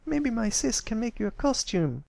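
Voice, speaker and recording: A chirpy little filly as a male narrator puts her on speaks sweetly in a raised, girlish pitch. The style is a children's pony story read aloud, with a close, dry sound. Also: sing-song, moderate pace, creaky, darkish tone, no echo, heavily acted. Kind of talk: character voice in storybook reading.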